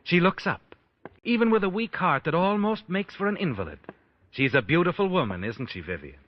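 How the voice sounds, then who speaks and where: An actor playing an intense, ominous narrator of a radio suspense tale, delivering dramatic narration on a muffled old recording. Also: male voice, sits high, big pitch swings, fairly quick. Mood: ominous, knowing. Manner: intense, dramatic, addressing the character directly.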